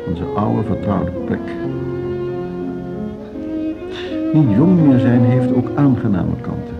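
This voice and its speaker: A man's voice: fairly low pitch, lively intonation, a little slow, creaky. An actor playing a wistful, courteous older man who loves music, in a Dutch radio drama with a Netherlands accent. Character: Gijs, wistful, courteous ageing music lover, male. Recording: dull radio play, loud violin music. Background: bowed string music.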